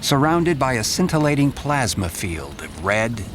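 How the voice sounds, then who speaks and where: An actor narrating a radio drama as a grave, portentous noir storyteller with measured, poetic phrasing. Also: male voice, medium pitch, big pitch swings, noisy background. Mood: ominous.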